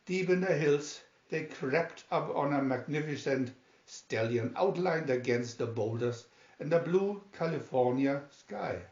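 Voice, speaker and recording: Male narrator for picture-booklet story, fairly high pitch, very unhurried, echoey sound. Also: expressive, darkish tone. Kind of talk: read-aloud story narration. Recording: dull lo-fi, echoey room.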